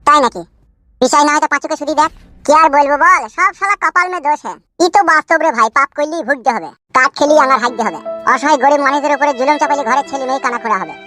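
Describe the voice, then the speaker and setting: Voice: high voice, racing, bright, forceful tone, soft background music. A performer voicing a cheeky, foul-mouthed village cartoon character with a rural West Bengal accent, arguing in brash slang. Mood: bickering. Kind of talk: comic cartoon dialogue.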